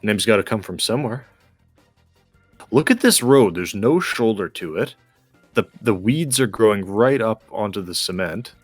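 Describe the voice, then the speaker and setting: Low-pitched man chatting over a game. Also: expressive, moderate pace, no echo, faint music behind. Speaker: gamer co-hosting a gameplay video. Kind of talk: casual chat while playing a guessing game.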